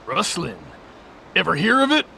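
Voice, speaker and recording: A man playing a gruff, folksy, scornful posse cowboy with a gravelly voice in a radio western. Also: high voice, huge pitch swings, normal speed, sharp tone, a little hiss or hum, full character voice, rough recording. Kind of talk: old-time radio western acting.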